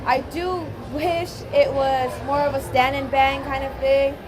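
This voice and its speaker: A woman's voice: high-pitched, little pitch movement, a little slow, over music. A young woman from Hawaii, a slap fighter being interviewed in a casino, talking easygoing and laughing often. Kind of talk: casual interview. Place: casino, busy public area. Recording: noisy crowded casino background.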